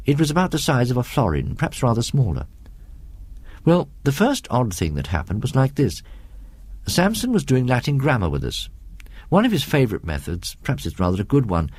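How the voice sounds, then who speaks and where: British male narrator for reminiscent ghost story, expressive pitch movement, measured delivery, close dry sound. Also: dry recording. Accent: British English. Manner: measured, reminiscing, conversational asides. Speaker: story reader.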